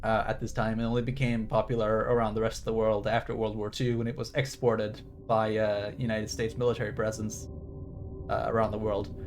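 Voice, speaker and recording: A man's voice: on the low side, level tone, a little fast. A man streaming a game, giving casual live commentary in a rambling, repetitive way. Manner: rambling, repetitive, sweary reactions. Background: game music.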